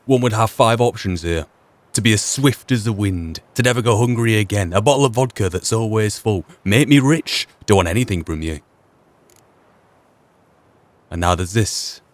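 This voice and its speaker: Guy doing thoughtful rp game commentary, kinda low voice, muses and asks himself questions. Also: a little fast, dry recording. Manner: reflective, deliberative, rhetorical questions. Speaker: game streamer narrating a role-play playthrough. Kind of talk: musing gameplay commentary.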